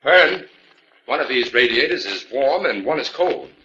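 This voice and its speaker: Calm scientist hero, authoritative, lively pitch swings, dull vintage radio sound. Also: male voice, moderate pace. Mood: investigating. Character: calm, decisive male scientist detective hero. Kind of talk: 1940s radio acting. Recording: dull 1940s radio recording.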